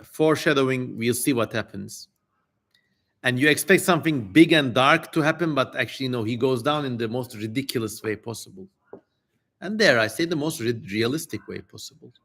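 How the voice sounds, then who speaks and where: A man's voice: mid-range voice, vocal fry, fairly bright tone. Man giving wry, casual livestream commentary. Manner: casual, wry asides with hesitant pauses. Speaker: host of a dramatic reading livestream. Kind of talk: live stream commentary between passages of an old text.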